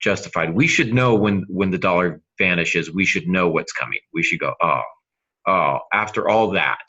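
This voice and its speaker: A man's voice: low voice, expressive, normal speed, bright, forceful tone, slightly rough, muffled recording. A man co-hosting a writing podcast, explaining things in a casual way with hypothetical examples. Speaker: writing podcast co-host and novelist. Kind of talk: casual instructional discussion.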